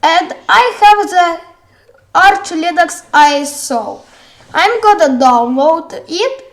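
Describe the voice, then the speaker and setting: High, halting Ukrainian-accented tutorial voice. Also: female voice, expressive. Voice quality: childish-sounding voice. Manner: hesitant, halting, many fillers and restarts. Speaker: tutorial host. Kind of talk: screen-recorded software tutorial. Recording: echoey room.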